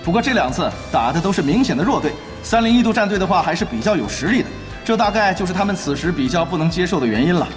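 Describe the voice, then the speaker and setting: A man playing an excitable, emphatic esports play-by-play commentator in an audio drama, prone to dramatic exclamations. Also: high-pitched, quick pace, few pauses, echoey room, faint music behind. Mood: curious, engaged. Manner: emphatic, exclamatory, building to shouts.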